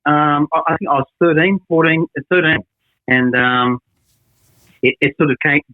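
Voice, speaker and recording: A man's voice: fairly high pitch, moderate pace, no room sound. A man, a guitarist guest, speaking hesitantly in a casual interview over a phone line. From Australia. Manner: hesitant, repeats his words.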